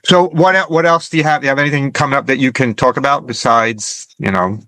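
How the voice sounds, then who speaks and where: A man's voice: medium pitch, quick pace, sharp tone, no echo, poor audio. A man hosting a casual interview, chatting and repeating his phrases as he goes. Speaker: show host, interviewer. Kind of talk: casual chat.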